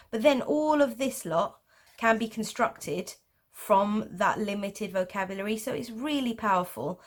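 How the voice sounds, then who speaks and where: A woman with a Pakistani English accent, patiently explaining geometric drawing in a tutorial. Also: mid-range voice. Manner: patient, explanatory, with light laughs. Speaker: art teacher.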